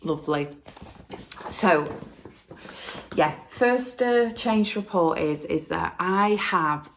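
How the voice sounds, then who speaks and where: A woman hosting a casual stitching video from home, chatting in a rambling way with lots of fillers, and sounding hoarse from a cold. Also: lowish voice, expressive, takes it slowly, a little hiss or hum. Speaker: flosstube host. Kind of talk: casual chat to camera.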